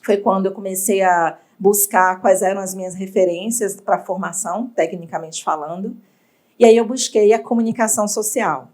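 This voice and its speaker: Woman guest, measured and expressive, mid-pitched. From Bahia, Brazil. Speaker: entrepreneur guest. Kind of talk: interview storytelling.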